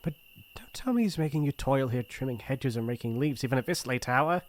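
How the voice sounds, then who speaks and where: A shy young crown prince acted by a man with a British accent, in a fantasy ASMR roleplay. He speaks in formal, courtly phrases with a gentle, earnest manner. His delivery is hesitant and easily flustered, at an ordinary male pitch. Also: animated, fairly quick, natural voice. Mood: tender concern.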